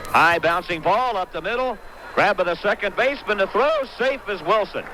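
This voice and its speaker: A man's voice: high-pitched, animated, normal speed, faint music behind, low-quality recording. An American man calling live baseball play-by-play on the radio in clipped, punchy phrases. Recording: loud stadium crowd and noise. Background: roaring ballpark crowd.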